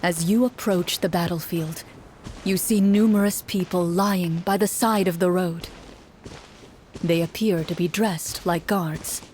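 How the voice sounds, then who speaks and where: A woman voicing a female game master who narrates a tabletop adventure in measured second-person storytelling, in video game voice acting. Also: on the low side, fairly even intonation, darkish tone, a little hiss or hum, natural voice.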